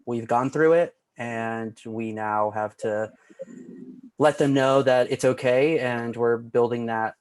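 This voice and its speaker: A man's voice: medium pitch, fairly even intonation, slow pace, a little mellow, clean tone, no room sound. A man with an American accent introducing himself casually as a panelist in a roundtable. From Orlando, Florida, United States. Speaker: roundtable panelist, lighting and video designer.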